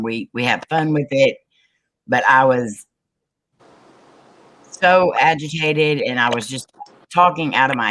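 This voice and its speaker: A woman with a low voice, a paranormal investigator, telling a story on a group video call with many ums and restarts. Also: a bit bright, poor audio. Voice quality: low, manly-sounding voice. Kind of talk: casual group video chat.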